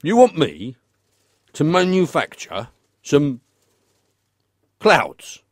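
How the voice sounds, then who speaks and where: An obliging maintenance man, played by a male actor in a comic radio play, with a lightish, fairly high voice that rises and falls expressively. He sounds polite and puzzled, hesitant yet eager to please, in a dry recording. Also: wildly swooping pitch, extremely slow, dry recording, exaggerated voice. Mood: bemused.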